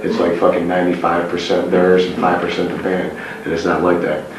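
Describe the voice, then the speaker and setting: Young man, band frontman, very deep monotone voice, blunt and profane; echoey, noisy distant recording. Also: a little fast. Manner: blunt, profane, says he has a very low voice. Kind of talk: casual backstage interview.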